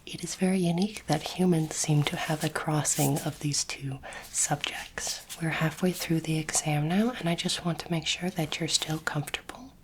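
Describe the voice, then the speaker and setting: A woman whispering breathily in an ASMR roleplay as a courteous, curious alien doctor, gentle, reassuring and measured. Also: low-pitched. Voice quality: breathy, mostly unvoiced whisper. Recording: noisy close recording, clicking sounds.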